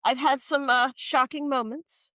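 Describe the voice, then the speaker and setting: A woman with a slightly high, stuffy voice from a cold, steering a casual book podcast. She keeps restarting with "now" as she picks up each new topic. The sound is dry but a bit dull and lo-fi. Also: fairly even intonation, unhurried, a bit bright, dry recording. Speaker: podcast co-host. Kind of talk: casual chat about books.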